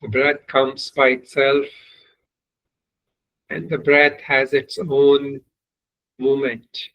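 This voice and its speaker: A man leading a guided meditation as a teacher, giving measured, unhurried instructions with long pauses. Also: mid-range voice, level tone, dull audio.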